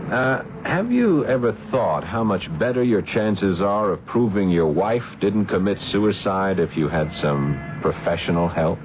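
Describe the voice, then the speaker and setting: Old, muffled radio drama with music behind. a persuasive, pushy private investigator, deep but very animated, with a glib patter. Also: male voice, unhurried, hardly any pauses. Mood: eager, pushy. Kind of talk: 1970s radio drama acting.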